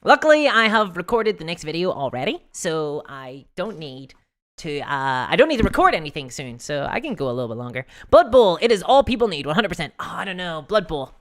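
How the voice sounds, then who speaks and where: A livestream host with a hoarse voice, chatting casually and self-deprecatingly about tabletop games and answering viewers. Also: medium pitch, very animated, brisk, a bit bright. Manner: giggly, laughs often while talking.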